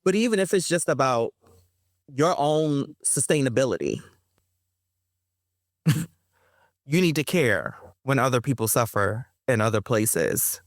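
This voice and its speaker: A woman who is a poet and interview guest, talking in an emphatic, expressive way. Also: extremely low pitch, slow pace, long pauses, no echo, studio quality. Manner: emphatic, laughs often while talking. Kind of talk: conversational interview, reciting own poem.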